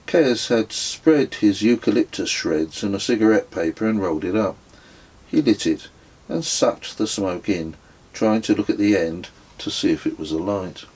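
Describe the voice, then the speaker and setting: A man reading his own memoir as an audiobook, with husky, measured, descriptive narration. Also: lowish voice, a little mellow. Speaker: author reading own book.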